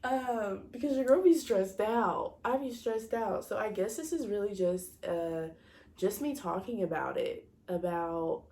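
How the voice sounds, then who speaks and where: A woman's voice: fairly low pitch, dark tone. A woman in her twenties talking casually to her viewers, a bit stuffy from a cold, rambling and often correcting herself as she searches for words. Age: 20s. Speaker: vlogger. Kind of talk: casual personal talk to camera.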